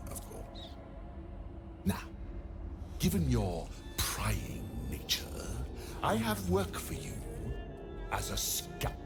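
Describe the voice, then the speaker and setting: An actor playing a haughty, sinister necromancer in a video game, with pompous, theatrical, drawling delivery. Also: male voice, deep voice, vocal fry, full character voice. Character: sinister, haughty male necromancer, vain about his grisly creations. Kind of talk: dramatic video game acting.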